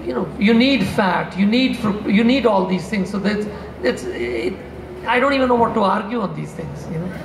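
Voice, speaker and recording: An older man with a high, gravelly voice rambles through a casual panel answer, restarting and tossing in asides. He speaks into a handheld mic in a big convention hall, the sound bouncing off the room with faint background hum. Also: lively intonation, moderate pace. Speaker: product formulator on a panel. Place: large convention hall stage.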